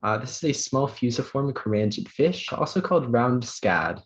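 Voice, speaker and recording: A man serving as pronouncer in an online spelling bee, reading words and definitions in a measured, formal way. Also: medium pitch, animated. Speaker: word pronouncer. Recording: dull lo-fi call audio.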